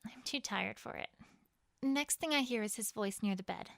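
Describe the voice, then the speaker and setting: Woman doing conflicted pony queen telling her own story, highish voice, bit of fry, close dry sound, dramatic. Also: normal speed, fairly bright tone, no room sound. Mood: weary, tense. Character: proud, conflicted young winged pony queen. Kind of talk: first-person fan fiction narration with acted dialogue.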